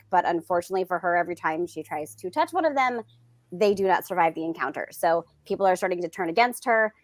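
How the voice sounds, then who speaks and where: A woman author on a panel, casually introducing herself and pitching her book. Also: a bit bright, dry recording. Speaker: debut author, former teacher.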